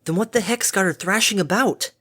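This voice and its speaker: A brash female pony adventurer, performed by a woman reading a story. She talks in a blunt, folksy way with an exasperated edge. The pitch is raised and punchy. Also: a little fast, a bit bright, natural voice. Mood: skeptical. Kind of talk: animated audiobook character acting.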